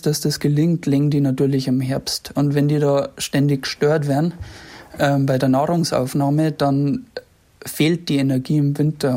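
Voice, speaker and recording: A speaker with a middling pitch explains wildlife matters in an interview answer. They talk thoughtfully, restarting phrases as they find the words. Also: mellow.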